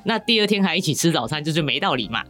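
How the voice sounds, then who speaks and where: A woman's voice: sits low, sing-song, racing, fairly bright tone, faint music behind. A woman with a Taiwanese Mandarin accent chatting casually as a podcast guest, candid and wry in a colloquial way. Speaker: podcast guest, novel author.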